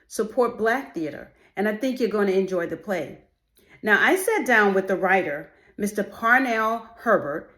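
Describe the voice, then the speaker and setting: Echoey woman podcast host. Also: mid-range voice. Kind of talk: podcast promo and interview. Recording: echoey room.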